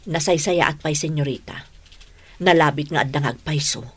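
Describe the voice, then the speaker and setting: A woman playing a respectful older housemaid in a comedy radio drama, with a husky voice. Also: deep voice, fairly quick. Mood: worried. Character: Manang Celia, respectful older female housemaid.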